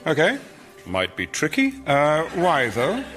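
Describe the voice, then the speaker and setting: A politely baffled Sun, very animated. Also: male voice, fairly high pitch, takes it slowly, faint music behind, full character voice. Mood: polite, incredulous. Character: the Sun as a patient, politely incredulous older-brother figure. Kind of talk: radio sketch comedy.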